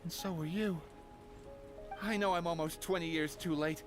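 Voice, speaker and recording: An actor playing a remorseful, grief-stricken man in a fantasy video game, halting and pleading in a dramatic delivery. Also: fairly high pitch, fairly even intonation, lightly acted. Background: game music.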